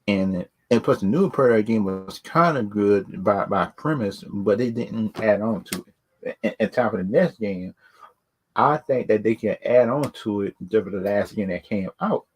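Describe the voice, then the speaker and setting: A man's voice: fairly low pitch, lively intonation, moderate pace, a little mellow, husky. A man giving casual, unscripted opinions as a video host, rambling and often repeating words and stock phrases. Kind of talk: casual unscripted opinion talk on films and games.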